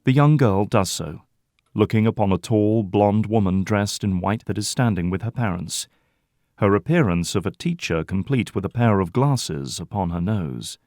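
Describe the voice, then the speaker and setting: A man's voice: lowish voice, lively intonation, moderate pace, darkish tone, dry recording, clean studio sound. A man delivering dramatic scripted narration as the narrator of an audio drama.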